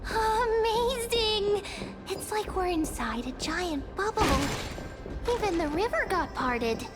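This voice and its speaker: A woman's voice: lively intonation, slow pace, over music, full character voice. A woman voicing a small, cute, childlike companion creature in a video game, curious and wide-eyed. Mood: amazed. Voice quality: childlike, very high, cutesy.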